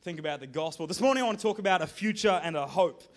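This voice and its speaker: An Australian man giving an informal sermon as a visiting preacher, casual and joking, halting at times and moving between soft and loud. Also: sits high, lively intonation.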